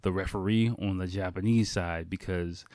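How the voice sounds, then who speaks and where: A man's voice: deep voice, fairly even intonation, moderate pace, darkish tone, dry recording, clean studio sound. A man hosting a podcast solo, talking in an informal, conversational way with casual swearing. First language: English. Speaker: podcast host.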